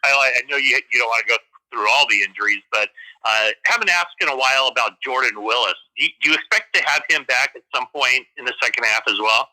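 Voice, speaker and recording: A man's voice: mid-range voice, quick pace, sharp tone, poor audio. A man asking questions as a sports reporter on a press conference call.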